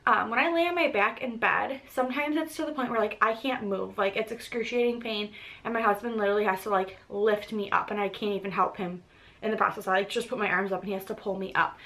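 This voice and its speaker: A woman's voice: medium pitch, steady tone, quick pace, pushed and bright, low-quality recording. A young pregnant woman giving a casual vlog update, congested and a little short of breath, chatty with frequent ums. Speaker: pregnancy vlogger.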